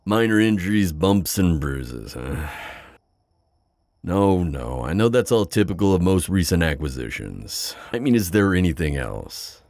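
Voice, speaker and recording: A man playing a suave, skeptical demon buyer in an ASMR audio roleplay, with a deep voice and a sardonic, halting manner. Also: sing-song, darkish tone, dry recording, full character voice. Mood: skeptical, probing. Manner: flirty, sardonic, hesitant pauses and drawn-out words.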